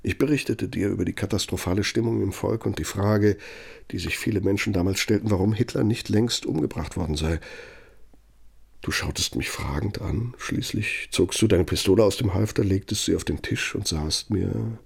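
Bitter old man in a radio drama, deep-ish creaky voice, sardonic n reproachful, takes his time. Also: normal speed. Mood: grave recollection. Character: embittered older German man, betrayed former confidant, proud and accusing.

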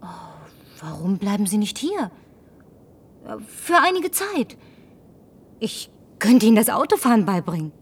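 An actress dubbing a brash, lonely young woman who survived the end of the world, teasing and sharp-tongued. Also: mid-range voice, big pitch swings, unhurried, long pauses, husky, distant mic, heavily acted. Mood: wistful. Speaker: Linda, survivor driving a car. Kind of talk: German film dub.

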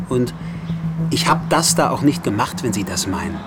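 Needling, self-important man played by an actor with lively intonation. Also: mid-range voice, normal speed, heavy background noise, natural voice. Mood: defiant, smug. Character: provocative, self-important male bystander. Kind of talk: naturalistic radio drama dialogue.

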